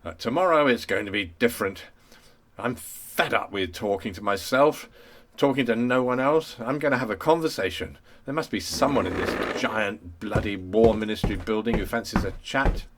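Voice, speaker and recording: A man's voice: fairly low pitch, moderate pace, fairly bright tone, slight background noise, voice pushed down, lightly acted. A man playing a weary, exasperated wartime ministry clerk who mutters and grumbles to himself in a radio play.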